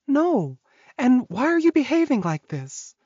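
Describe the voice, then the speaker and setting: A man puts on an anxious young werewolf heroine from a romance audiobook. The voice is pitched well up and exclaims with raw emotion. The sound is dry and slightly dull. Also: huge pitch swings, measured pace, mellow, dry recording, heavily acted. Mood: confused, scared. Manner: high-pitched, exclaiming, distressed.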